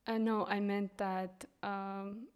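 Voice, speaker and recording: Woman, young adult, Kyrgyz accent, native Kyrgyz speaker, slow and hesitant, flat intonation. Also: medium pitch, smooth. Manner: hesitant, many ums and restarts. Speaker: guest, English teacher. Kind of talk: casual interview.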